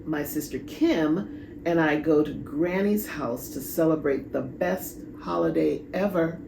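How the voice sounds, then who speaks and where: A woman reads a picture book aloud to children. Her voice is creaky and slow-paced, with drawn-out, expressive emphasis. Clear background noise runs under the amateur recording. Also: low voice, echoey room. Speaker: children's storybook reader. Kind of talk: expressive read-aloud storytelling with asides.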